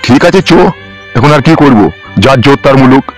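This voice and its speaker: A man's voice: medium pitch, brisk, soft background music, natural voice. A man voicing a hapless, resigned husband, crude and complaining, in a comedy cartoon. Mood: resigned, grumbling.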